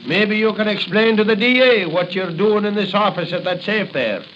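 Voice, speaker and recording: A man's voice: high voice, animated, normal speed. A man playing a blunt, blustering police officer in 1940s radio acting style. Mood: tough, suspicious. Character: blustering, gruff-talking male police officer. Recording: muffled, hissy 1940 radio recording.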